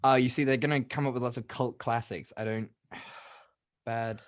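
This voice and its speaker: A young man co-hosting a movie podcast in casual chat, laughing often and tossing in quick asides. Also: mid-range voice, steady tone. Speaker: podcast co-host.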